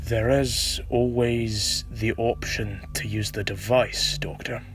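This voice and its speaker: A man playing a sly, provoking renegade time traveller in an audio drama, speaking in a calm, hushed, insinuating way. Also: medium pitch, slow pace, natural voice. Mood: calm, persuasive.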